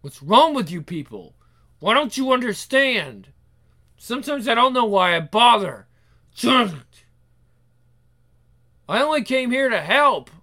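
A man's voice: very high-pitched, sing-song, slow pace, long pauses, sharp tone, voice pushed up, heavily acted. A man voicing a captive female vampire, defiant and sardonic, who talks in an urgent, taunting way. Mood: exasperated.

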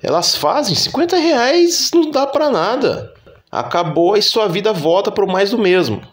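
A man's voice: high voice, very animated, moderate pace. A man with a Brazilian Portuguese accent giving opinionated, critical commentary on a video he reacts to.

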